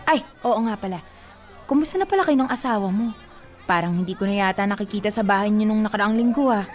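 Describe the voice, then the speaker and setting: A woman plays a feisty, protective housewife in a radio drama. She is chatty and blunt, prying like a gossip, in a voice of medium pitch. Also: expressive, fast, lightly acted. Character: feisty, nosy housewife friend, bold and protective.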